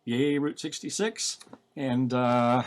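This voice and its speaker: A man's voice: mid-range voice, slow pace. Male author-narrator, mid-range voice, reading his own novel as a dramatic story. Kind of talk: dramatic audiobook-style reading.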